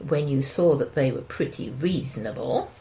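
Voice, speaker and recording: An actress playing an anxious, gossipy middle-aged wife in an audio drama, with a fretful manner. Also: female voice, rumbling low, expressive, moderate pace, dark tone, rough recording. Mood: wry, conciliatory. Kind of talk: naturalistic audio drama dialogue.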